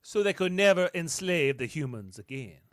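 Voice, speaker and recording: A man's voice: a little high, very animated, normal speed, fairly bright tone, dry recording, clean studio sound. A man playing a curious, self-assured starship captain in an amateur radio drama. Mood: probing, wry. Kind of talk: amateur radio play acting.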